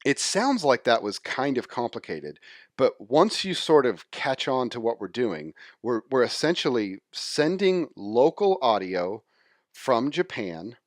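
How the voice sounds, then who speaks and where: A man's voice: mid-range voice, very animated, a little slow, no echo, clean studio sound. A man giving an informal screen-share tutorial, explaining technical steps one by one in a conversational way. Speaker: software demonstrator.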